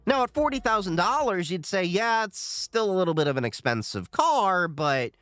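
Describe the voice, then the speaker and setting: A man's voice: sits high, sing-song, normal speed, fairly bright tone, clear, resonant tone, dry recording. A man hosting a casual livestream, reading chat questions aloud and giving opinionated answers.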